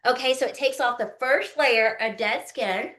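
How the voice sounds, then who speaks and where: Higher-voiced woman, chatty. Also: normal speed, fairly bright tone. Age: early 50s. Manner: chatty, folksy, often checking in with listeners. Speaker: skincare consultant hosting a live online demonstration. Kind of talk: casual instructional chat, product demo.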